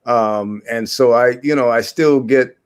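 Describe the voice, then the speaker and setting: A man in his forties from Guyana, a historian answering interview questions in a halting way, with many fillers and restarts. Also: medium pitch, clear, resonant tone. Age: 40s. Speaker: guest historian.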